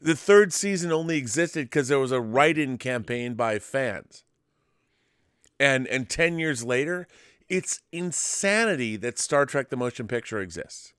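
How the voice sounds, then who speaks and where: A man talks in a casual fan discussion as a co-host. His voice sits at a middle pitch and he stresses points emphatically by repeating words. The sound is dry and free of room reflections. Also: animated, measured pace, fairly bright tone, smooth, no room sound. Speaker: co-host of a pop culture discussion show. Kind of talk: casual fan debate about films and TV.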